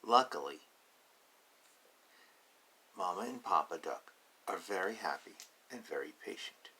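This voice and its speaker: A man's voice: low voice, animated, measured pace, pushed and bright, slightly rough. A man reading a picture book aloud to young children, adding playful, simple explanations in casual asides.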